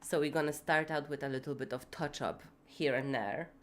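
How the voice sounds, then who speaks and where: A woman's voice: low-pitched, steady tone, fairly quick. A woman streaming live while building in a city game, congested and feverish, rambling with lots of fillers and casual swearing. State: sick with fever, congested, voice going.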